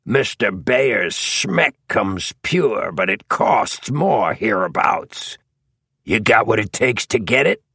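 Man playing a raspy, menacing street thug. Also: fairly low pitch, expressive, slow, a bit bright, no echo, studio quality, pitched above the performer's own voice, full character voice. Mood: businesslike menace. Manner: snapping, threatening, coarse slang. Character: rough, menacing tall male gang thug with knife.

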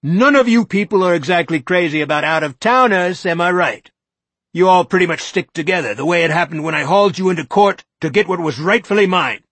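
A man voicing a panicking middle-aged male lawyer who shouts and hectors in a loud, strained voice. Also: a little high, huge pitch swings, normal speed, hardly any pauses, slightly rough, no room sound, pitched above the performer's own voice, exaggerated voice. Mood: hectoring. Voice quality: loud, hectoring, near screaming.

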